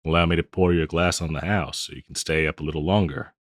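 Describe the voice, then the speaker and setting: Guy doing an old west bartender, real low voice, folksy n teasing, rambles on, close dry roleplay audio. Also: steady tone, fast, no room sound. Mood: teasing, kindly. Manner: folksy, rambling, chuckling drawl with hesitations. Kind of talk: intimate scripted roleplay audio, addressed to listener.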